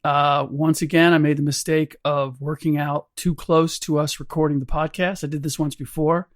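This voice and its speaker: A middle-aged man hosts a podcast with a hoarse, slightly rough voice that sits a bit high. He rambles through stories with restarts and small chuckles, recorded dry on a close studio mic. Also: level tone, normal speed, dry recording. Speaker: podcast host. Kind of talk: casual podcast chat.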